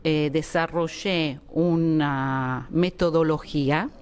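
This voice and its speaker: A woman from Argentina, slowly answering interview questions and often pausing with fillers as she searches for words. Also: low-pitched, fairly even intonation, a little mellow, smooth. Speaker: interviewee, education researcher. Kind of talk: slow, thoughtful interview answers.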